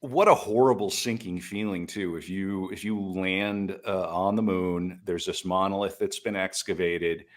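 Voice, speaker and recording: A man's voice: deep voice, fairly even intonation, a little slow, hardly any pauses. A man co-hosting a podcast, discussing film casually with thoughtful, hesitant phrasing. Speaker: podcast co-host.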